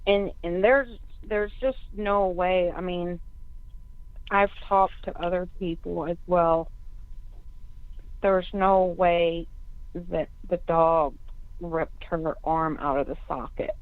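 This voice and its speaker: A woman's voice: on the low side, fairly even intonation, takes it slowly, smooth, no echo. A woman speaking emphatically in a conference call discussion. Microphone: phone. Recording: narrowband phone conference line.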